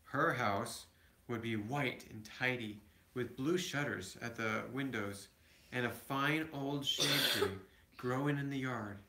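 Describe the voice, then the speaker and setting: A man's voice: mid-range voice, pushed and bright. Echoey man reading a picture book aloud. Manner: halting, with small stumbles and asides to children. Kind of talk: read-aloud storytelling with casual asides. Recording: echoey room, home recording.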